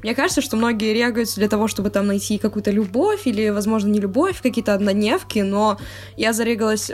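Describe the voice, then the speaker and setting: A woman of twenty-one co-hosting a podcast in casual, informal chat, a little self-deprecating. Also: mid-range voice. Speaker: podcast co-host.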